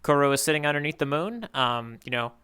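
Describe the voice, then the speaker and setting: Bright clean-sounding guy, podcast cohost, rambly and playful banter. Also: a little high, expressive, fast, smooth. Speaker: podcast co-host. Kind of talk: casual banter about manga.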